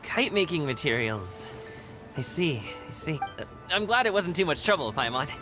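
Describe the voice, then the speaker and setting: A friendly young guard in a video game, his voice sitting high and swinging up and down with cheer. He laughs easily and talks in an easygoing way, over clear game music. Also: very animated, normal speed. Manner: warm, easygoing, laughs often.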